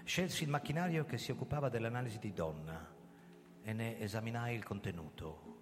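A man plays a commanding, embattled police commissioner in a radio drama. His low voice moves with great animation, sardonic and theatrical. Long reverb surrounds him. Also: expressive, a little fast, natural voice. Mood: measured narration. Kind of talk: radio drama acting and first-person narration. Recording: reverberant stage sound, loud music behind. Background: music.

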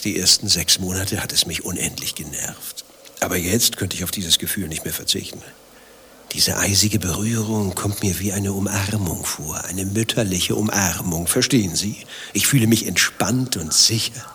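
An actor playing a vain, easily riled adulterer in a satirical German radio play, long-winded and self-justifying. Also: male voice, sits low, moderate pace, sharp tone, slight background noise. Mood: self-absorbed, confiding. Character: vain, thin-skinned adulterer waiting for his lover.

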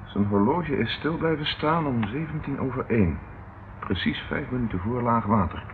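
An actor playing a stern, impatient police inspector in a radio play, speaking in a dry, businesslike way. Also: male voice, medium pitch, lively intonation, normal speed, lightly acted. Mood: brisk, pondering. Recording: muffled, noisy old radio recording.

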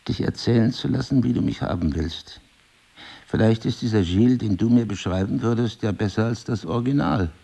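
An actor playing a married crime novelist in an intimate drama, husky and articulate, probing, cynical and wounded, with deliberate literary phrasing. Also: male voice, lowish voice, warm tone. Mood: reflective, confiding. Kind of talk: intimate two-person stage drama dialogue.

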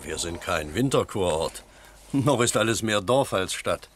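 An actor playing a plain-spoken, hesitant village cattle-barn worker in a German radio crime drama. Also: male voice, deep voice, animated, unhurried, fairly bright tone, breathy edge, exaggerated voice. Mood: helpful, hesitant. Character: village cattle-barn worker, startled but helpful witness.

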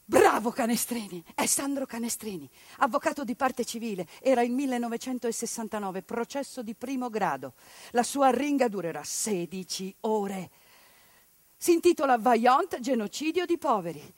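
A woman's voice: on the high side, expressive, moderate pace, dry recording. Impassioned woman, theatrical monologue. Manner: impassioned, indignant, dramatic swings between loud and hushed. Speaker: stage actress narrating a monologue. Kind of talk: theatrical civic monologue broadcast on radio.

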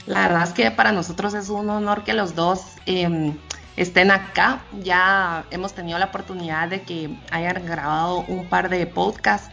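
A woman's voice: on the low side, steady tone, normal speed, vocal fry, sharp tone. A woman hosts an interview, friendly, asking questions with fillers along the way. The audio is dull and lo-fi, with faint music behind her. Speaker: host. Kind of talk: interview hosting.

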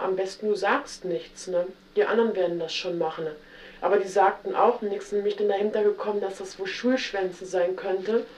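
Young woman, Berlin accent, halting interviewee, roomy distant 1970s tape. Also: mid-range voice, a little mellow. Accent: Berlin German. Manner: hesitant, halting, frequent tag questions. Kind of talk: candid recorded interview.

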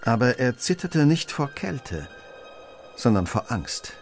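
An actor playing a brilliant, coolly analytical detective in a German radio play, speaking precisely and with authority. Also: male voice, mid-range voice, very animated, takes it slowly, soft background music. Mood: musing, grave.

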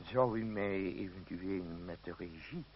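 An actor playing a pompous, middle-aged leader of a travelling theatre troupe in a radio play, stammering and humming in a self-important, fussy way. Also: male voice, deep voice, a little slow, slight background noise. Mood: condescending. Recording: dull old radio drama recording.

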